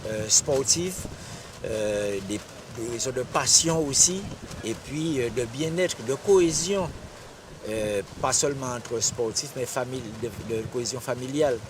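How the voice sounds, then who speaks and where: A man giving halting, reflective interview answers, searching for his words. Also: medium pitch. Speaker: water sports figure honoured at an inauguration. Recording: distant mic, noisy outdoor ambience.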